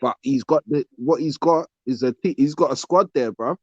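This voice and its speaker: A man's voice: medium pitch, moderate pace, a little mellow, no room sound. Dull-sounding mic, insistent slangy London man. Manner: slangy, insistent, repeats himself. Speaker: podcast panellist. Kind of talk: casual football banter.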